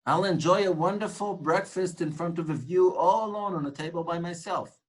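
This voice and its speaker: A man's voice: high voice, normal speed. Man lecturing, earnest and reflective. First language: Hebrew. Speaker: tour guide instructor. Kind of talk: informal lecture on guiding.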